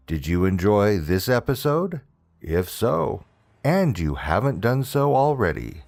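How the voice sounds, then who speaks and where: A man narrating a scary paranormal story from a script, dramatic and deliberate, with measured pauses. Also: lowish voice, a little mellow. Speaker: horror story narrator and channel host.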